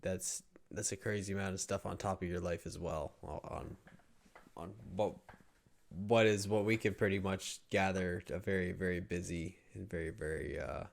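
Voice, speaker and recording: A man with a very low, gravelly voice hosts a podcast. His delivery stays flat, laid-back and full of fillers. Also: moderate pace. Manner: laid-back, filler-heavy, wrapping up the show. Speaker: podcast host. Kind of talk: casual podcast chat.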